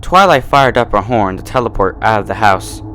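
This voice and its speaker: A man's voice: lowish voice, normal speed, slight background noise. A man narrating a story in a dramatic, scripted reading style. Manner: animated, dramatic.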